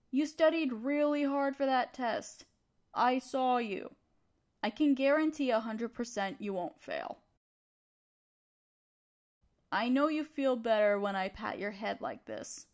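A woman playing a young female student, a teasing but encouraging study partner, in an intimate audio roleplay addressed to the listener. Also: high-pitched. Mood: gentle, reassuring. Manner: teasing, conversational, affectionate.

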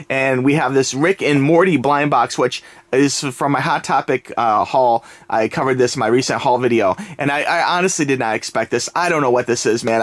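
A man hosting a toy unboxing video, talking casually to viewers while sniffly from a summer cold, rambling with many fillers and restarts. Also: medium pitch. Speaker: toy channel host. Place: indoors at a table.

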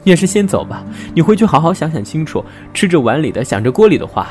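Actor as earnest young man, expressive, over music. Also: a little high, quick pace. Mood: agitated, insistent. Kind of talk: modern audio drama acting. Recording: music under the voice.

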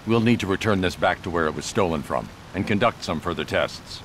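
A stoic masked hero of a video game speaks in a very low voice. He is terse, grave and in command, with clear noise behind him. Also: animated, brisk, a bit bright. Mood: grave, controlled. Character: stern, stoic masked vigilante hero in his prime.

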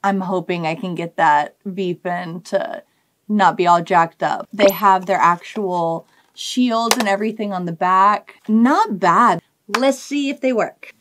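A woman's voice: mid-range voice, slow pace, a bit bright. Informal woman vlogger with faint background noise. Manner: enthusiastic, informal, slangy. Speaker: hobby video host. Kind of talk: casual vlog chat to camera. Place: small indoor hobby studio room.

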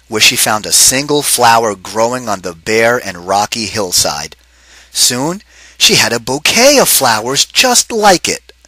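A man reading a picture book aloud to children, pausing to ask them questions. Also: lowish voice, pushed and bright, no echo, rough recording. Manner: asks the kids questions between pages. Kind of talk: interactive read-aloud for children.

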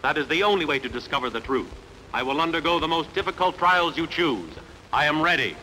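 A bold, wronged warrior hero, played by a man, declaims in a highish, very sharp and forceful voice. He is defiant and earnest, as in an old dubbed epic film, with faint noise on the soundtrack. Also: expressive, fairly quick, poor audio. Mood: earnest, resolute. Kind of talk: dubbed sword-and-sandal film dialogue.

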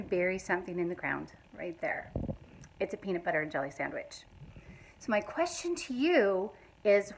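A woman's voice: mid-range voice, breathy edge, rough recording. Middle-aged woman, casual author chat. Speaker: children's author. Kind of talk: casual trivia question to camera. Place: indoor room with bookshelves. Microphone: phone at arm's length.

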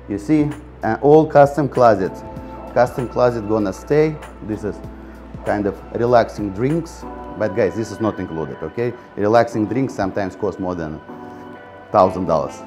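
A middle-aged man with a fairly low, mellow voice speaks in as he leads a walk-through of a condo. His talk is chatty and full of repeats, and he keeps calling the viewers "guys." Soft background music plays faintly under him. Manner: chatty, repeats "guys" and "again", non-native grammar. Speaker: real estate agent. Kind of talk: walk-through property tour. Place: bright condo, indoors and on an ocean balcony.

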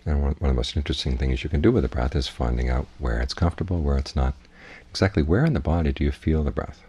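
Very deep, husky, dark-voiced man. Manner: measured, plainspoken instruction. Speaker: Buddhist monk, meditation teacher. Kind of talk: guided meditation talk.

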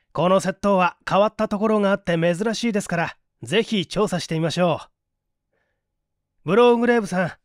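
Man playing a composed detective, lively intonation. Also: high-pitched, no echo, clean studio sound. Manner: polite, assured questioning. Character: composed, courteous male detective, shrewd and businesslike.

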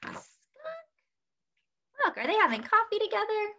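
A young woman reading a picture book aloud to children in an animated, sing-song way, adding small asides to her listeners. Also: extremely high pitch, normal speed. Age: young adult. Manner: animated, sing-song storytelling with expressive character lines. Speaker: children's story reader. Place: small indoor room at home. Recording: dull lo-fi webcam sound.

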